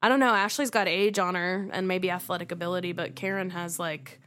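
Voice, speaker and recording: A woman's voice: lowish voice, steady tone, fairly quick, fairly bright tone. A woman co-hosting a casual podcast, giving frank opinions on a reality show with frequent fillers. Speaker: podcast co-host. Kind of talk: casual recap chat.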